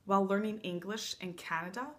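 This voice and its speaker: A woman interviewer asking measured, formal questions. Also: medium pitch, steady tone, a bit bright.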